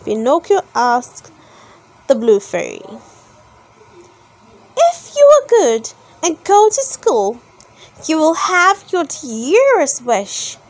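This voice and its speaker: Female storybook narrator, high voice, unhurried and expressive, reading aloud for children. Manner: expressive, dramatic delivery with emphasized words.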